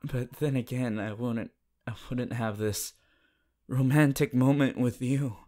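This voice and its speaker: A man playing a shy, awkward, lovestruck teenage anime boy hero in a halting, stammering romantic roleplay addressed to the listener. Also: mid-range voice, fairly even intonation, dark tone, natural voice. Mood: tender, happy. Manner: halting, stammering, flustered, giggly.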